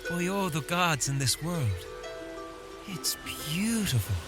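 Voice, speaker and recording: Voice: medium pitch, very animated, takes it slowly, loud music behind the voice. An actor playing a reckless but protective older brother, a young boy adventurer, earnest in an audio drama. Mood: urgent, awed.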